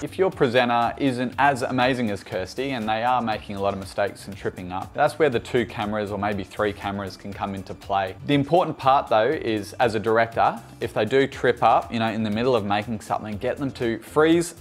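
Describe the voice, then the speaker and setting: A man in his thirties explaining things conversationally to camera, in a tutorial style. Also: on the low side. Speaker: videographer and presenter. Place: bright furnished room indoors.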